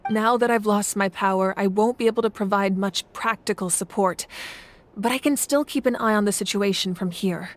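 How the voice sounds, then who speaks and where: A woman voicing a solemn, composed oracle-like guide in a video game, with a measured, formal and grave manner. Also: mid-range voice, lightly acted. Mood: calm, grave. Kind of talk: English video game voice acting.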